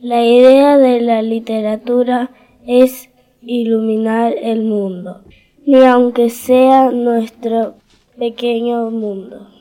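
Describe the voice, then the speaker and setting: A woman slowly reading a quotation in a measured, solemn way, from a played clip. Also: a little high, fairly even intonation, dark tone. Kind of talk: slow read quotation over music. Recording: played clip with music behind.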